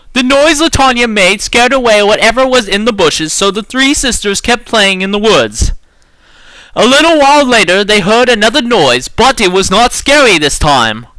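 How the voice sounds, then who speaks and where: A man's voice: sits high, pushed and bright, no echo, low-quality recording. A man giving an exaggerated, theatrical dramatic reading of a children's storybook. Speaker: amateur reader.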